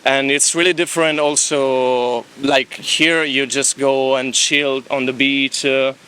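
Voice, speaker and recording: A man's voice: mid-range voice, level tone, slow, sharp tone, clean tone, rough recording. A young man with an Italian accent chatting easily in a beach interview about his travels. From Italy. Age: young adult. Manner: chatty, easygoing, laughs between thoughts. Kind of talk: casual street interview. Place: beach, outdoors.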